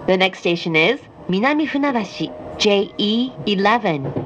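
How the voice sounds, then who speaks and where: A woman's voice: expressive, very slow. A woman train announcer reading scripted station announcements in a formal, carefully enunciated way. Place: inside a train car, played over speakers. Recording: muffled, noisy recording inside a moving train. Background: train rumble and noise.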